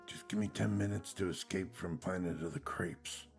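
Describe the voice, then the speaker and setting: An actor playing a casual, weary, wisecracking cop in an audio drama. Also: male voice, low voice, steady tone, normal speed, gravelly, husky, soft background music, heavily acted. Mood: wry, weary.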